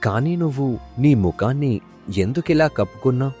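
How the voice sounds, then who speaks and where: A man's voice: medium pitch, lively intonation, moderate pace, dark tone, faint music behind, lightly acted. A man voicing a smitten, admiring, persistent young man in a cartoon moral story.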